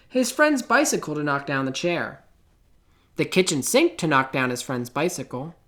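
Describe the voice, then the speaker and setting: A man reading a children's picture book aloud in an expressive, theatrical way, with deliberate pauses. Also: a little high. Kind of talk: children's storybook read-aloud.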